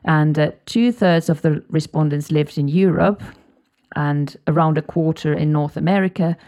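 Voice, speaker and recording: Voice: mid-range voice, normal speed, a little mellow. An interviewer whose first language is Finnish, asking thoughtful questions.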